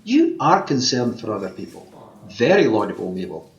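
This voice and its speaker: A man's voice: on the low side, very animated, normal speed. A man with a Scottish accent playing an insistent, cajoling wish granter disguised as a scruffy tramp in an amateur radio play, theatrical in manner. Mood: smooth, persuasive. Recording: dull remote home recording.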